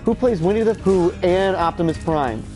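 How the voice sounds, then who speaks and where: A man's voice: sits high, moderate pace, a little mellow, low-quality recording. Brief: thirties guy streaming a game, stuffy, rambling, swearing, game music faintly behind. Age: 30s. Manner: rambling, profane, opinionated. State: stuffy, short on sleep. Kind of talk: casual gameplay chatter.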